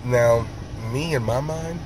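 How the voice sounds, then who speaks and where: A man with a sore throat and a raspy, hoarse voice, talking slowly in a rambling casual monologue, often clearing his throat. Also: mid-range voice, noisy background. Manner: rambling, slow, many ums and throat clearing. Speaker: vlogger.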